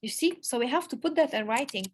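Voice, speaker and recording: A woman giving an emphatic motivational talk to her business team, repeating key phrases and pausing for effect. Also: high voice, lively intonation, a little hiss or hum. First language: Arabic. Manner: emphatic, repetitive, pauses for emphasis. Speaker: network marketing leader giving a motivational talk. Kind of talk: motivational speech.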